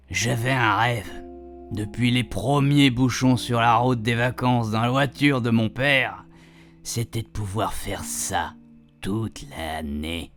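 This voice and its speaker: A man's voice: fairly low pitch, a bit bright. Man acting chatty cheeky old grandpa, music behind. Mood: cheeky, reminiscing. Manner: rambling, colloquial, repeatedly checking his puns landed. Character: chatty retired grandpa, cheeky, self-amused, homespun storyteller. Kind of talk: radio fiction monologue.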